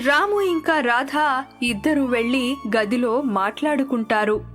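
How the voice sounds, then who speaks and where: A woman's voice: high voice, a little slow. A woman narrating a scripted moral story as a storyteller, with music underneath.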